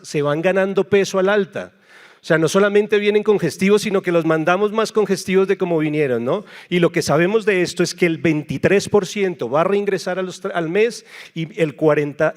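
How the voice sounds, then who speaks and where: A man from Colombia with a Colombian accent gives a medical lecture as a nephrologist, speaking conversationally and emphatically with frequent tag questions. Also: fairly high pitch. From Bogotá, Colombia.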